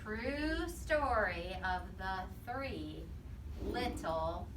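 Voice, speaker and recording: A woman's voice: medium pitch, animated, drawn-out words. A woman teacher leading an interactive storybook read-aloud with young children, asking questions and repeating answers. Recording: roomy, distant mic with faint noise.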